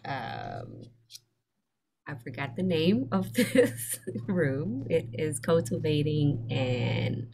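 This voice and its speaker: A woman's voice: low-pitched, huge pitch swings, extremely slow, vocal fry, mellow. A woman school counselor born in Vietnam, introducing a session on a video call with frequent ums and restarts. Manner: hesitant, many ums and restarts. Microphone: webcam on video call.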